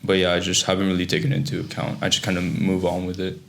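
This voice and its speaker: A man's voice: low voice, completely flat delivery, fast talker, vocal fry, slight background noise. A teenage boy, a student guest, talking in a laid-back, reflective way with many fillers. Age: late teens. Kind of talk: casual chat.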